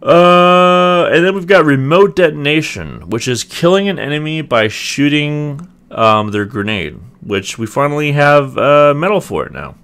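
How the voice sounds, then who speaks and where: A young man with a slightly raspy voice, casually reviewing a video game and hedging often. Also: on the high side, lively intonation, a little slow, few pauses, fairly bright tone. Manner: rambling, hedging, many ums and restarts. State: clearing throat, voice getting raspy. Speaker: gaming video host. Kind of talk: casual gameplay review commentary.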